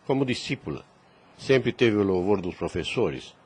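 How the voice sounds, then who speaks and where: A man's voice: lowish voice, lively intonation, normal speed, husky. A man playing a concerned, kindly priest in an audio drama, speaking formally and solemnly. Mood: praising, concerned. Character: concerned, kindly old-fashioned priest and family counselor.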